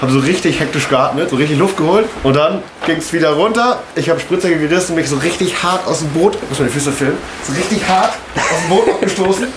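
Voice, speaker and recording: Junger Mann, lockerer Erzählton, halliger Raum. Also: male voice, on the high side, brisk, hardly any pauses. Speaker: kayaker recounting the day. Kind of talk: casual storytelling to camera, slangy. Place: indoors in an unheated house. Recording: echoey room, noticeable background noise.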